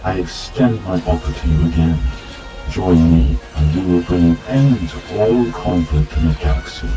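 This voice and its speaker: A man playing a cold, commanding dark lord in an audio drama, speaking imperiously and with threat. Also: sits low, moderate pace, roomy sound, music in the background, lightly acted, low-quality recording. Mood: commanding menace.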